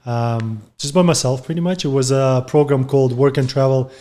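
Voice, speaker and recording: A man from Russia speaks with a light Russian accent, telling his story in a relaxed, chatty way. His grammar is loose and his talk is full of fillers and quick laughs. His voice sits at an ordinary, middle pitch. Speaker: podcast guest, real estate agent. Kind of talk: casual interview talk.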